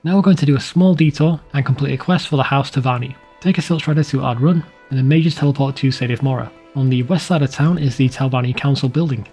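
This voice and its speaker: A man's voice: medium pitch, fast, few pauses, a little mellow, breathy edge, soft background music. A man reading a scripted video game walkthrough in a plain, informal, instructional way. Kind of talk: scripted guide narration.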